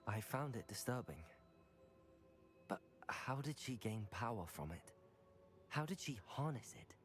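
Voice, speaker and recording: An actor playing an earnest, self-assured young wizard student in a video game, polite and articulate. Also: male voice, mid-range voice, sing-song, measured pace, soft background music. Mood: polite, curious.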